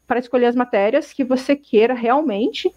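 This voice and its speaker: Young woman from Brazil, chatty interviewee, mid pitch, dry muffled recording. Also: normal speed, a little mellow, no echo. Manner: rambling, many restarts and fillers. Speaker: interviewee, exchange student. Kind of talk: casual interview answer. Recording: dull muffled sound.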